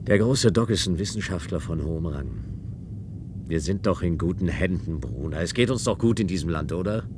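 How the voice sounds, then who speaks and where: An actor playing a dutiful, rule-bound official in a science-fiction radio play, speaking formal in an insistent, lecturing way. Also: male voice, animated, moderate pace. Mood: soothing, pleading. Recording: noisy radio play with engine rumble.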